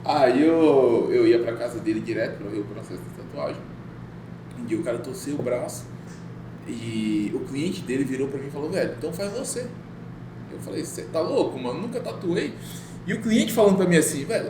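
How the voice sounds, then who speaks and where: A middle-aged man from Bahia, Brazil, a guest tattoo artist, telling his story in a casual podcast and laughing often. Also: medium pitch. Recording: echoey room with noise.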